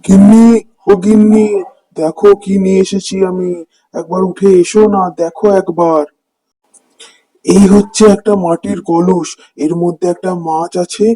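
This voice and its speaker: A humble cartoon fisherman, played by a man, speaks in a high, even voice. He sounds earnest and plaintive, a poor village father with troubles. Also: unhurried, long pauses, faint music behind. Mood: eager. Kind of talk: cartoon voice acting.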